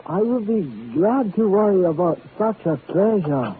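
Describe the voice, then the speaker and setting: An actor playing a smug pearl thief with formal, over-polite menace, in a 1940s radio villain style. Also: male voice, high-pitched, animated, unhurried. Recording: narrow tinny vintage radio, faint noise.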